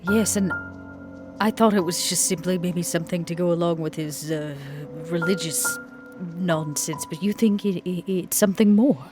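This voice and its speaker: A woman's voice: lowish voice, moderate pace, lightly acted. A woman playing a genteel, old-fashioned female vampire in a tabletop game, with prim, quaint phrasing over music. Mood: puzzled. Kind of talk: in-character tabletop roleplay.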